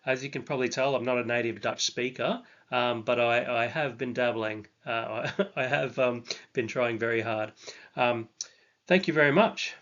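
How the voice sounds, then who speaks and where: A man's voice: medium pitch, moderate pace, a bit bright, highs cut off. A man hosting his own channel, talking through a stock analysis in a casual, hesitant way with many ums and restarts.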